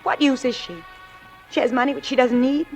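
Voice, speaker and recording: An actress playing a cool, coaxing, scheming young girlfriend in an old radio thriller, softly persuasive and calculating. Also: sits high, fairly even intonation, a little fast, lightly acted. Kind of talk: 1940s-50s radio thriller acting. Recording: vintage radio drama, music behind.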